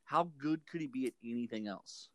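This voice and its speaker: A man from Texas chats casually as a podcast co-host, picking friendly arguments and correcting details with a joking edge. His voice sits at a middling pitch and is recorded close and dry, with no room sound. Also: fairly quick, no room sound. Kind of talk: casual chat.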